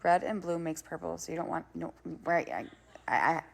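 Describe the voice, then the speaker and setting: A woman's voice: low-pitched, animated, normal speed, vocal fry, slight background noise. A woman from Florida livestreaming, thinking aloud and chatting casually while she works on a craft, with a rambling manner and casual swearing.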